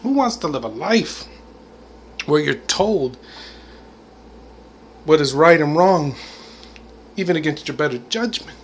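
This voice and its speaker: A man's voice: a little high, animated, measured pace, long pauses, slight background noise. Rambling, reflective man in his forties. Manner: rambling and reflective, full of "you know" and "I mean", with laughs. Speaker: vlogger. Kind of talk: casual spoken monologue.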